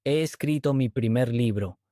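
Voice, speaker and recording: Male host-teacher, mellow voice, even measured read, dry close sound. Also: mid-range voice, no echo. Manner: clear, even, measured delivery. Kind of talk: slow scripted dialogue reading.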